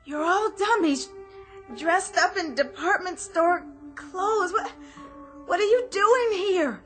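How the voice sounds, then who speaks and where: A woman's voice: very high voice, lively intonation, takes it slowly, fairly bright tone, full character voice. An actress playing a frightened young woman trapped alone, stammering breathlessly and pleading in a radio drama. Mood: fearful, accusing. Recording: radio drama with clear music underneath. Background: dramatic music.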